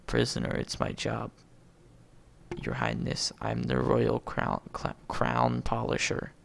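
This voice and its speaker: A man's voice: medium pitch, creaky, a bit bright. A man reading a movie script aloud as an amateur, announcing names and stage directions and stumbling over words.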